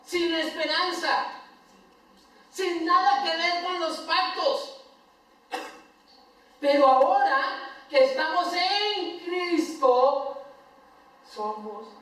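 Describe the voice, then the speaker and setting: An older Colombian man preaching a sermon as pastor, swinging between loud emphatic lines and soft tender asides. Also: extremely high pitch. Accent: Colombian Spanish. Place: church sanctuary. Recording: echoey church hall, voice from far off the mic.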